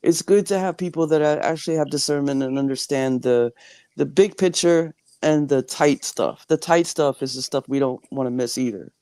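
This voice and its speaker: A hoarse man recovering from the flu, with a somewhat raised pitch and an earnest, explaining way of talking. His voice is dry and close on an online call. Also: moderate pace, mellow, no room sound. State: hoarse, getting over the flu. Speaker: caller on a talk show. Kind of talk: casual chat.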